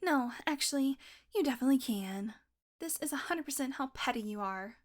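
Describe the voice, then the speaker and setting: Casting: actress as cranky, sarcastic vampire woman for audio roleplay; high register, sweary, teasing. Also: expressive, brisk. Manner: sardonic, foul-mouthed, teasing with pet names. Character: hungry, irritable, sarcastic female vampire on a road trip. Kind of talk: audio roleplay acting, one-sided dialogue.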